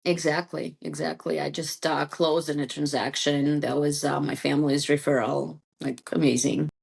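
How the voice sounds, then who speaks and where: A woman's voice: sits low, flat delivery, moderate pace, creaky, darkish tone, breathy edge. A woman whose first language is Russian, chatting casually and informally as a real estate agent being interviewed.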